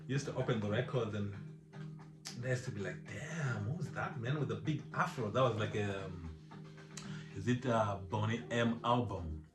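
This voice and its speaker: A man's voice: lowish voice, normal speed, echoey room. A man from Zimbabwe hosting his own music vlog, chatting casually and rambling, with frequent laughs and lots of "man". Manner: laid-back, rambling, laughs often, says "man" a lot. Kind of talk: casual chat.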